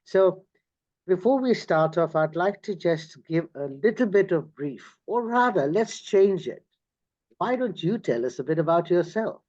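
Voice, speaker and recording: Effusive host guy, somewhat high voice, muffled lo-fi call sound. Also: expressive, a little slow, a little mellow. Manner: enthusiastic, effusive. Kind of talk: online interview conversation. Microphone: video call.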